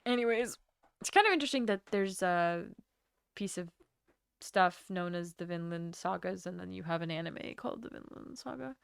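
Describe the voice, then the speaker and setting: A woman's voice: lowish voice, animated, moderate pace, creaky, clear, resonant tone, dry recording, clean studio sound. A young woman from Latvia chatting casually on a live stream, rambling from topic to topic and swearing freely. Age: young adult.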